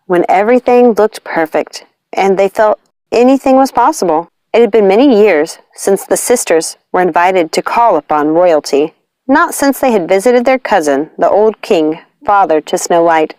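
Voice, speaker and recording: A woman reading a fantasy storybook aloud in an expressive narrating style. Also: medium pitch, normal speed, smooth, no room sound. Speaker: audiobook reader.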